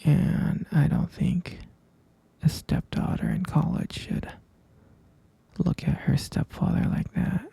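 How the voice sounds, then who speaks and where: A man playing a mature stepfather in an ASMR roleplay, speaking in a breathy, husky half-whisper with a hesitant, confiding and concerned manner. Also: sits high, steady tone, takes it slowly, dark tone, dry recording, full character voice. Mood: reproachful, confiding.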